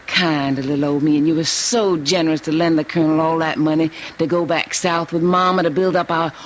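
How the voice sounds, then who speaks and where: An actress playing a willful, coquettish young Southern US newlywed wife in old-time radio drama style, honeyed and cajoling, then imperious. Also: female voice, low-pitched, fairly even intonation, fairly quick, natural voice. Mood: coy, honeyed. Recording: dull noisy old radio drama recording.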